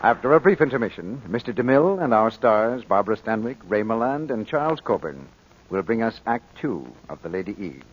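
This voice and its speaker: A man's voice: lowish voice, big pitch swings, brisk, slight background noise. A man announcing and advertising in 1940s radio style, on a muffled old broadcast recording.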